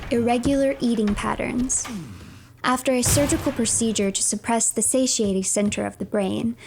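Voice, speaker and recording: A woman streamer narrating a game story in a measured, formal, scripted read-aloud style. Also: on the high side, vocal fry, a little mellow, a little hiss or hum.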